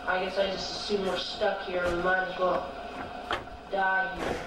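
A gloomy, resigned dead man acted by a man talks haltingly in an amateur drama. The sound is very echoey and far from the mic, with loud noise throughout. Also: high voice, completely flat delivery, close to an everyday voice. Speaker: amateur actor in a hobby film. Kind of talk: slow improvised-sounding drama dialogue. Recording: noisy, echoey, distant homemade tape sound.

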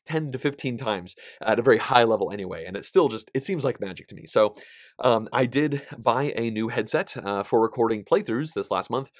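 A man in his thirties with a somewhat nasal voice, giving a casual, animated vlog update about his board game channel. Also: medium pitch, no echo, clean studio sound. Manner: chatty and animated, gesturing with both hands, frequent fillers. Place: small indoor studio, plain backdrop.

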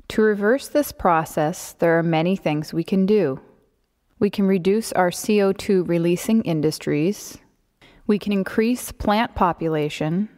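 A woman reading a scripted explanatory narration. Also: medium pitch. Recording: long hollow reverb.

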